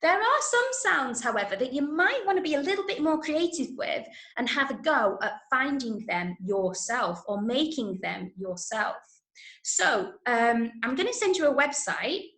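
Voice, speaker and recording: High-voiced English woman teaching, roomy sound. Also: sing-song, moderate pace, fairly bright tone. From Manchester, England. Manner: encouraging, frequent checking "yeah?" and "okay?". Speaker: workshop teacher of radio drama. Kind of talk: online lesson to schoolchildren. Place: indoor room, online video call. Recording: roomy, echoing online-call sound.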